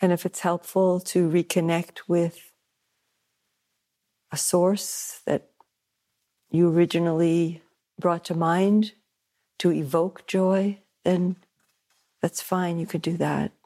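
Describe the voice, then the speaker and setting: A woman meditation teacher leading a guided meditation at a retreat center, soft-spoken, with long pauses and gently repeated phrases. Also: on the low side, fairly even intonation.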